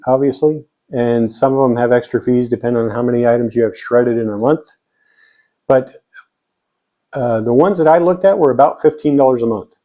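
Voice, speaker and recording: A man's voice: mid-range voice, warm tone, highs cut off. An American man explaining things step by step in a plainspoken, casual vlog style. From United States. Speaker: vlogger. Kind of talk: casual explainer to camera or screen.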